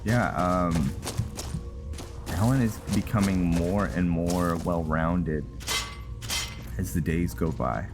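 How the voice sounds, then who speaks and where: A man giving casual gameplay commentary in a halting, muttering way to himself. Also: low-pitched. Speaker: gaming video host. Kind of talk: casual gameplay commentary and roleplay, reading in-game text aloud. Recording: loud music behind the voice. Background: loud game music.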